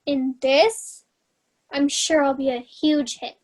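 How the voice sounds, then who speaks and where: A woman's voice: high voice, fairly even intonation, slow pace. A woman playing a wistful, sarcastic young fairy tale heroine in a children's play, earnest with a dry edge. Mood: disappointed, sarcastic. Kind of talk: staged reading of children's theater fairy tale.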